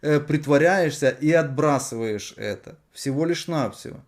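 A man arguing on a video chat, asking calm, persistent, pointed questions. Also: on the high side, normal speed. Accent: Ukrainian. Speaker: chat roulette host. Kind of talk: argumentative chat roulette debate.

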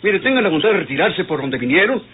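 High-voiced, haughty ageing doctor, tinny recording. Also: male voice, very animated, quick pace, slightly rough, exaggerated voice. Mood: haughty, dismissive. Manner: indignant, formal, refusing. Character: proud, indifferent, ageing rich provincial doctor. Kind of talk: old radio drama acting. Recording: tinny narrow-band old radio drama, faint noise.

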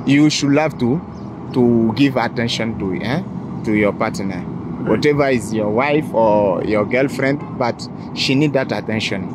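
A man's voice: medium pitch, a little slow. A man in his thirties from Ivory Coast, a French speaker with a West African accent, answering casually and earnestly with repeated phrases. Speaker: interview guest. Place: outdoors by a parked car in town. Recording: noisy outdoor street recording.

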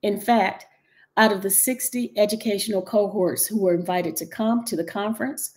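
A middle-aged woman from North Carolina giving a measured, earnest local history talk over a video call from her dining room. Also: mid-range voice, steady tone, darkish tone. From Chapel Hill, North Carolina. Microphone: video call webcam.

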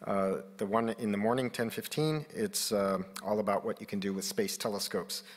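A man's voice: lowish voice, fairly even intonation, normal speed. A man moderating a press conference from a podium, in a plain, conversational way with many fillers, picked up on a podium microphone in a conference room.

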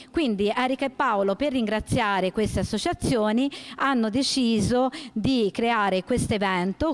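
Brief: earnest, fairly high-voiced woman with some hesitant restarts, handheld mic, large gym hall. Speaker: event organizer's representative. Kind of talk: explaining a charity event.

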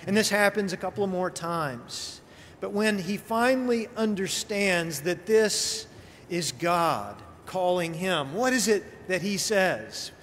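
A middle-aged man preaching a sermon as a pastor, in a conversational storytelling style with emphatic pauses and rhetorical questions. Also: sits high, lively intonation, a bit bright. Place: church sanctuary at a pulpit. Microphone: pulpit gooseneck microphone. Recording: reverberant church hall, clear background noise.

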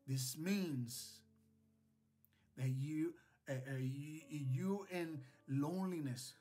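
Slow-paced man preaching over guitar music. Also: a little high, warm tone, breathy edge. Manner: earnest, exhorting, repeats phrases for emphasis. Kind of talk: devotional sermon to camera, scripture reading. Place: small indoor home studio.

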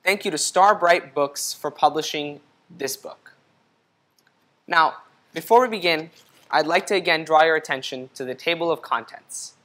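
A man's voice: a little high, fairly bright tone. A young man hosting a children's read-aloud, speaking directly to young listeners and putting questions to them. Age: young adult. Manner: clearly paced, addressing young listeners directly. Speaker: picture book reader for children. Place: indoor studio set. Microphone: lapel mic.

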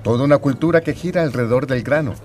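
A male news reporter narrates a scripted feature. His voice sits at a middle pitch, with soft guitar music underneath. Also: quick pace. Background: guitar music bed.

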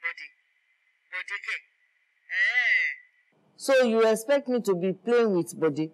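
A man's voice: sits high, expressive, slow, crowd noise. A man playing a nagging Nigerian mother who scolds her son for staying away and wants grandchildren. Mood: reproachful. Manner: scolding, guilt-tripping.

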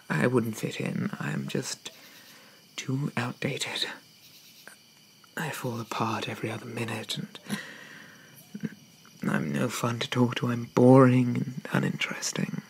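A man playing a shy, apologetic young zombie in an ASMR roleplay, with hesitant, stammering, old-fashioned politeness and a soft whisper. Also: medium pitch, rough recording. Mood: wistful, self-deprecating. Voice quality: soft, breathy whisper with creaky edges.

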